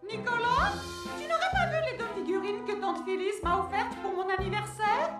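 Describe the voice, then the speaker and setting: A woman's voice: very high voice, lively intonation, moderate pace. A woman playing a mother calling out to her son in a dubbed cartoon. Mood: questioning. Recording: distant echoey voice under loud music.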